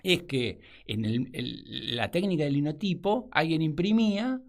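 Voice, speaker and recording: A man, a radio guest explaining in a measured way and often trailing off into fillers. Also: on the high side, lively intonation, gravelly. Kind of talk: radio conversation.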